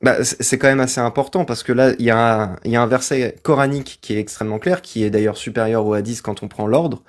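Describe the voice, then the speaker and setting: A man's voice: mid-range voice, fairly even intonation, fast talker. A man hosting a live debate, pressing his opponent with insistent, probing questions and demands for sources. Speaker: stream host and debater.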